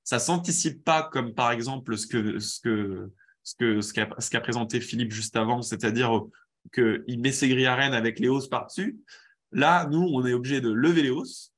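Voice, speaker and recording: A man with a head cold presenting in a webinar, explaining a method in a halting way with many restarts. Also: medium pitch.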